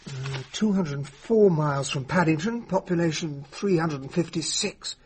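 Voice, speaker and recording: An actor playing an earnest gentleman sidekick in a radio drama, hesitant and eager, with an English accent. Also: on the high side, normal speed, breathy edge. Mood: flustered, helpful. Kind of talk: classic radio drama acting. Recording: noisy old radio drama recording.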